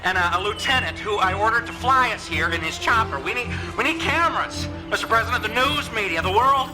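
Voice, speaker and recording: A grandstanding American senator in a radio drama, high-pitched and swooping. He stammers and boasts in halting bursts, with music behind him. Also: lively intonation. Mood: boastful. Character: flustered, self-important male US senator, grandstanding and vain.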